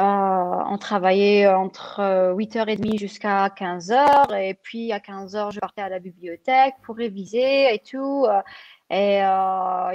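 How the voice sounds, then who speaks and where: A woman's voice: medium pitch, a little mellow, dry recording. A young woman from Algeria answering interview questions, hesitant with many fillers and restarts. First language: French. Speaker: guest pharmacist.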